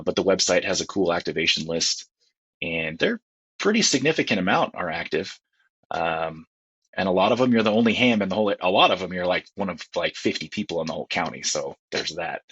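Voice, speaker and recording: A man's voice: low voice, a bit bright, slightly rough, dry recording. A man chatting casually as a panelist in a laid-back, colloquial way, heard through muffled lo-fi audio. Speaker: panelist on a ham radio discussion show. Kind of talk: casual chat.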